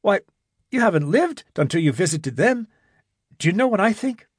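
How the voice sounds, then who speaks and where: A man's voice: high-pitched, big pitch swings, normal speed, slightly rough, no room sound, studio quality, pitched above the performer's own voice, heavily acted. A man doing a keen, self-assured young man. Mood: eager.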